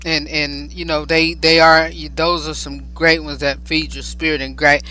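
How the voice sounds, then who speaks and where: A talk-show host, a man, rambles through his questions with many fillers and steady affirmations. His voice sits fairly high for a man and sounds very bright. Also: a little slow, soft background music, poor audio. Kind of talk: interview conversation.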